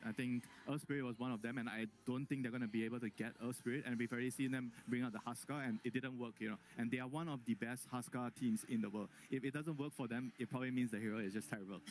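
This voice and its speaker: A man of average pitch speaks at a quick clip. He is an esports analyst breaking things down on a panel. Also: steady tone, slight background noise, low-quality recording.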